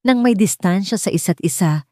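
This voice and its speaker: A woman's voice: medium pitch, lively intonation, normal speed, a little mellow, no room sound, clean studio sound. A woman reading scripted narration for a dramatic audio story as its narrator.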